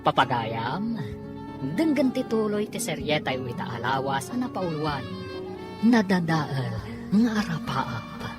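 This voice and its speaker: A woman narrator announcing and telling a radio drama in a measured, drawn-out, theatrical scripted style. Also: deep voice, big pitch swings. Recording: prominent music bed under the voice. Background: music.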